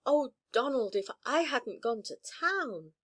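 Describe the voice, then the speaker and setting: A woman's voice: high voice, expressive, takes it slowly, fairly bright tone, pitched above the performer's own voice, full character voice. Storybook girl, young and breathless with joy, as a woman narrator puts her on. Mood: overjoyed. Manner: breathless, gushing. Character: overjoyed young girl reunited with brother.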